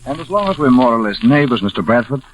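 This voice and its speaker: An actor playing a gentle older blind former pilot, measured and reflective, in a 1940s radio drama. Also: male voice, mid-range voice, big pitch swings, slight background noise, lightly acted. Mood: calm, reassuring. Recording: muffled old 1940s radio recording.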